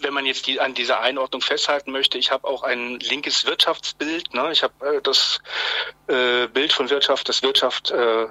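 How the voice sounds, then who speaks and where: A man in his fifties from southwest Germany, interviewed by phone, talking with frequent pauses, restarts and a questioning "ne?". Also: medium pitch, steady tone, pushed and bright, no room sound, rough recording. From Swabia, Germany. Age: 50s. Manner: hesitant, many fillers and restarts, tag "ne?". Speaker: interview guest, journalist. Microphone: telephone.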